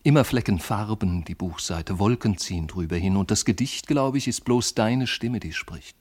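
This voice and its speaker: An actor playing a lovesick, brooding man of letters in a literary radio monologue, speaking lyrically and intimately in measured phrases. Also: fairly low pitch, a little mellow, slightly rough. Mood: anguished, fervent.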